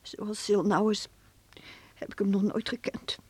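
An actress playing an anxious, devoted wife in mourning, sighing and hesitant, in a Dutch radio play. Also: female voice, medium pitch, flat delivery, unhurried, a little mellow, husky, no echo. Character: worried, grieving, devoted wife and mother. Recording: noisy old radio play recording.

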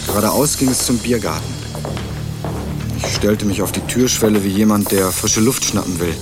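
A man's voice: fairly low pitch, lightly acted. An actor playing a laconic, guarded young male student from Berlin in a matter-of-fact radio crime drama. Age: young adult. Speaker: narrator and lead actor. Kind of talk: first-person radio crime drama narration and dialogue.

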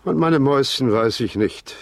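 An actor in a German radio play playing an old, taciturn grandfather, gruffly reserved and terse in his way of talking. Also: medium pitch, moderate pace, slight background noise, lightly acted. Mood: gruff, sad. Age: older. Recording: farmyard with clucking chickens. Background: chickens and birds.

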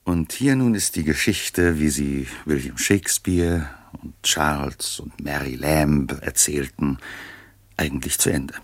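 A man narrating a radio drama as a storyteller, reading scripted prose in a measured, expressive way. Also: a bass voice, dry recording.

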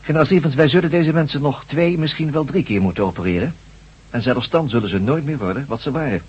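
Grave, husky surgeon professor, dull recording. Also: male voice, medium pitch. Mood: grave, emphatic. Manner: measured, formal, lecturing. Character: grave, authoritative male brain-surgeon professor, formal and emphatic. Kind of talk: radio drama acting. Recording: dull lo-fi old radio recording.

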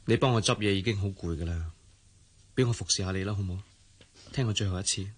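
An actor with a Hong Kong Cantonese accent playing a devoted, sweet-talking young fiancé in a radio drama. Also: male voice, deep voice, quick pace, background noise, natural voice. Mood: tender.

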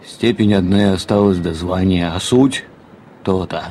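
Actor as a deep-voiced, weary, melancholy husband. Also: male voice, normal speed, darkish tone, slight background noise, lightly acted. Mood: wistful. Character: weary, melancholy ageing academic husband, lonely dreamer. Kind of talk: audio drama acting.

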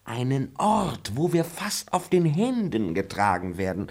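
A man's voice: medium pitch, sing-song, measured pace, darkish tone. An actor playing an insolent male servant who mocks his master in a radio drama. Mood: mocking. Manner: insolent, mocking. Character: cheeky grumbling male servant.

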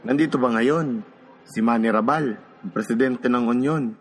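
A man's voice: mid-range voice, moderate pace, slight background noise, natural voice. A man playing a stern, threatening military officer lecturing workers in a radio drama, with an authoritative, admonishing manner. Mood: firm, condescending.

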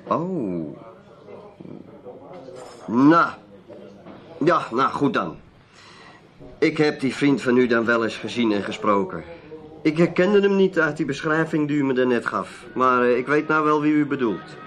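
Small-time trader for radio drama: folksy, slippery, chatty, mid-pitched. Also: male voice, expressive, unhurried, pauses often, fairly bright tone. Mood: guarded, chatty. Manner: folksy, hesitant, tag-heavy talk. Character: chatty, evasive male dealer in odds and ends.

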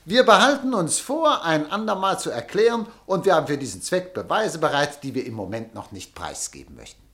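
A man's voice: high voice, a bit bright, exaggerated voice. A man acting a pushy, persuasive newspaperman in a radio play, speaking with insistent, theatrical delivery. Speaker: radio drama actor.

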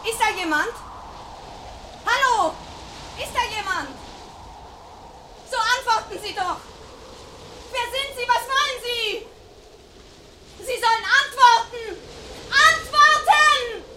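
A woman's voice: extremely high pitch, slow pace, long pauses, background noise. An actress playing a terrified woman alone at home in a German radio crime drama, stammering and pleading. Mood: panicked.

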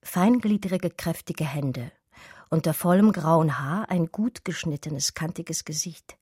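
A wistful, urgent art historian in a radio play. Her voice is low and soft-edged, husky with breath and often close to a whisper. She speaks with hushed intensity in a very dry, intimate recording. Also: slow pace, dry recording, full character voice. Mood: wary, observant. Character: distraught art historian recalling a lost lover, wistful and urgent. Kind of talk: radio drama dialogue and intimate first-person narration.

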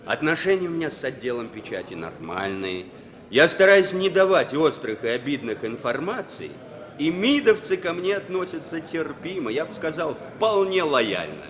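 A man's voice: on the high side, sing-song, normal speed, a bit bright. An actor playing a polite, guarded male foreign spy in a 1960s radio play, terse and questioning. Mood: guarded, courteous. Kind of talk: 1960s radio drama acting.

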